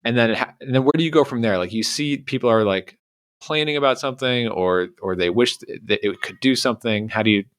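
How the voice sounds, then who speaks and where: A man's voice: mid-range voice, fast, a bit bright, smooth. A man hosting an interview, asking probing questions and restarting them as he goes. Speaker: podcast host.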